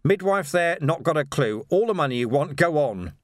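A man doing a gruff worn-out police commander. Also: on the high side, animated, moderate pace, no room sound, clean studio sound, voice pushed up, natural voice. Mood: weary. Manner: clipped, urgent commands.

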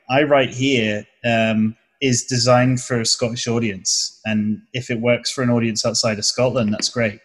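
Young guy w a southern english accent just chatting about comedy, rambles a bit, restarts a lot. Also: medium pitch. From southern England. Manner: chatty, explaining at length with restarts. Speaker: comedy video creator. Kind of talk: casual interview chat.

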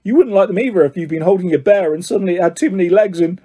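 A man's voice: high-pitched, lively intonation, fairly quick, dark tone, breathy edge, voice pushed up. A man voicing a touchy, nervous, blunt schoolboy with a defensive, blurting manner in a storybook reading. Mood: fierce, defensive.

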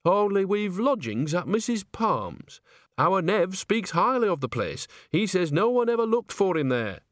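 A man voicing an old, cheerfully brazen witch who chatters away quickly and gossipily. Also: high-pitched, very animated, dry recording, studio quality, voice pushed up. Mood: breezy.

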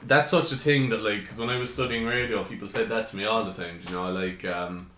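A man's voice: on the low side, steady tone, a little fast, bright, forceful tone. A young man hosting a podcast, rambling and swearing in casual chat. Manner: swearing, rambling, laughing often. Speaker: podcast host. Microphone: podcast mic. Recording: echoey room.

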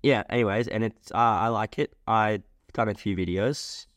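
A man's voice: fairly low pitch, fairly even intonation, normal speed, no room sound, studio quality. A man co-hosting a casual podcast, talking in a joking, rambling way. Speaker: podcast co-host. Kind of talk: casual chat.

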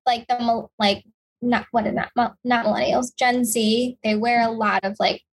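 A woman co-hosting a podcast, chatting casually with quick agreeing interjections. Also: a little high, little pitch movement, gravelly. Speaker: podcast co-host. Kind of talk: casual chat.

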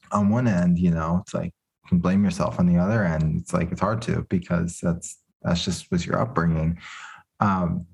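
Male host; low-ish husky voice; gentle, probing, loose fillers. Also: low-quality recording. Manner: gentle, probing, many "you know" fillers. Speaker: podcast host. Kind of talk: interview questions.

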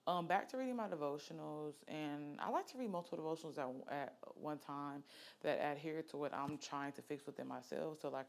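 A woman, hosting a podcast in a candid, chatty way with lots of fillers. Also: sits low. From Alabama, US. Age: late 20s. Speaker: podcast host. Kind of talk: casual solo podcast chat.

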